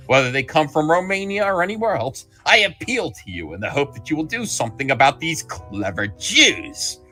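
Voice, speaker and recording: A man's voice: mid-range voice, sharp tone. A man hosting a comics talk livestream, reading aloud dramatically and chatting in a theatrical, mocking way. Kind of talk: dramatic letter reading and casual group chat. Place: online group call.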